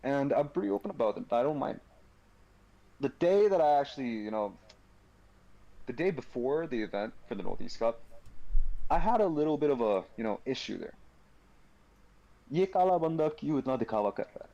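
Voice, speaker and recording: A man's voice: medium pitch, sing-song, brisk, long pauses, dark tone, highs cut off. A man from Kerala with a Bangalore accent, a caster speaking earnestly on a gaming panel discussion. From Kerala, India.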